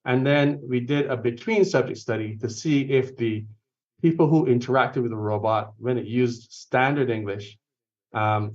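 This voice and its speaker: A man presenting a research study at a conference, explaining it step by step with frequent fillers and self-corrections. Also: mid-range voice. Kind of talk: academic research talk. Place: conference venue or online session. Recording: distant, dull lo-fi conference recording.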